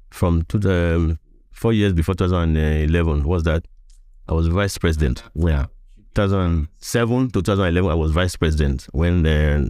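A man with a Nigerian accent chatting as an interview guest, speaking haltingly and repeating phrases for emphasis. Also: low voice, dark tone, no room sound. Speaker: podcast guest, veteran voiceover artist. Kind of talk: casual interview conversation.

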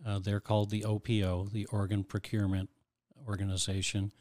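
Deep flat-voiced man in his sixties. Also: no room sound, clean studio sound. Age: 60s. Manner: explanatory, measured, with many ums. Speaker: heart transplant recipient guest. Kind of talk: casual interview talk.

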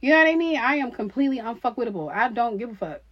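A woman in her thirties with a fairly high voice that swings up and down in lively fashion. She talks bluntly and in slang, like a friend chatting on a casual podcast. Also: rapid-fire. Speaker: podcast co-host. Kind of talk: casual chat between friends. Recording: dull, lo-fi sound.

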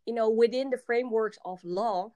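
A woman's voice: a little high, normal speed, dark tone, dry recording. Hesitant Dutch-accented young woman. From Netherlands. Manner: hesitant, many ums, laughs often. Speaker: podcast guest, software developer. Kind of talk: casual interview chat.

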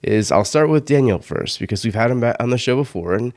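A man's voice: mid-range voice, animated, fairly quick, a little mellow, dry recording, studio quality. A man hosting a podcast, upbeat and joking as he welcomes guests. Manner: upbeat, joking, laughs often. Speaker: podcast host. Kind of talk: casual podcast interview.

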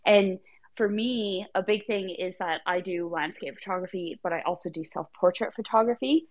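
Chatty woman, fairly low voice, lots of "like", relaxed conversational. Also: moderate pace, highs cut off. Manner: chatty, frequent "like". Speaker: photographer guest. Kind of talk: casual live audio chat.

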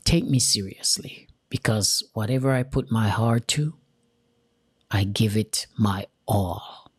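Jamaican guy talking real slow and earnest, kinda husky, dry close sound. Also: fairly low pitch, no echo. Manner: slow, deliberate and earnest, with emphatic repetition. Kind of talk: motivational direct-to-camera talk.

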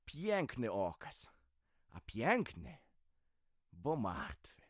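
A man's voice: on the low side, huge pitch swings, very slow, no echo, clean studio sound, heavily acted. An actor playing a violent, menacing village drunkard and abusive father in a radio drama, sneering and threatening. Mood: morbid gloating. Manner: sneering, threatening, wildly swinging from growl to yell.

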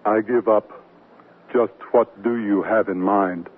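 A man's voice: lowish voice, fairly even intonation, slow pace, husky. An actor playing a wry, put-upon, proud businessman with a dry, sardonic delivery in a 1970s radio comedy. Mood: taken aback. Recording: tinny, very noisy 1979 radio broadcast.

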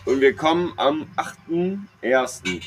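A man's voice: on the high side, sing-song, measured pace, poor audio. A young man chats casually as a podcast co-host, telling personal anecdotes in a slangy, rambling, jokey way. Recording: clear background noise.

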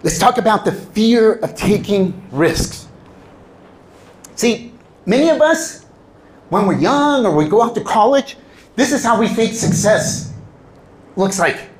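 Lively high-voiced man giving a talk. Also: slow pace, long pauses, rough recording. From Southern California. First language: Spanish. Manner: animated storytelling, acts out quoted dialogue. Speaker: public speaker. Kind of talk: motivational talk to an audience.

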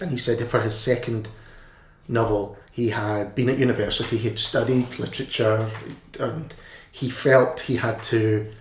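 A man interviewing an author, asking long, rambling, enthusiastic questions. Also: mid-range voice. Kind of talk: interview conversation. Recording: echoey room, faint noise.

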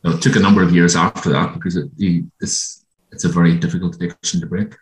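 Deep-voiced Irish man in a roomy space. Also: fairly even intonation. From Ireland. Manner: reflective, halting with ums. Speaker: guest, former gambling addict. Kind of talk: interview. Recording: roomy echo.

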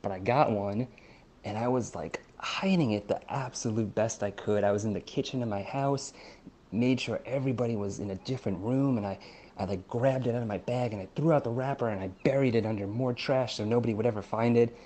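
A young man calmly telling a self-deprecating childhood anecdote. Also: medium pitch, brisk, slight background noise. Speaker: guest. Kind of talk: casual personal storytelling.

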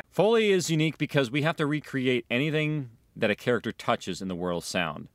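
A man's voice: mid-range voice, animated, a little fast, no echo, studio quality. A man speaking as an audio drama producer, earnestly explaining the craft in a documentary interview. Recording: faint music underneath. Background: faint music.

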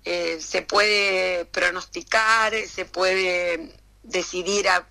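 A woman with an Argentine Spanish accent answers questions in an easy, chatty way. Her voice is very bright and bright-edged. She often hesitates, trailing off and starting again. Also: on the low side, fairly even intonation, extremely slow, gravelly. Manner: hesitant, many fillers and restarts. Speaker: interview guest. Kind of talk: casual conversational interview.

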